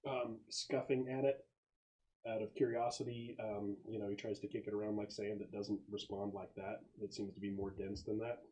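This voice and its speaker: Low-voiced man narrating a tabletop game. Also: flat delivery, mellow, poor audio. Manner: halting, descriptive, explanatory. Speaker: game master.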